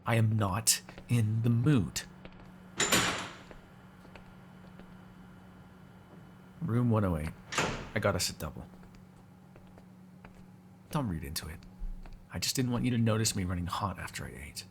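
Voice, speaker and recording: A man's voice: fairly low pitch, brisk, gravelly, long pauses, noisy background. A man voicing a hungry, cranky, flirtatious male vampire in an ASMR audio roleplay, sarcastic and given to swearing. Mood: sullen, tired. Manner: sarcastic, swearing, moody, shifting from murmurs to exasperated outbursts.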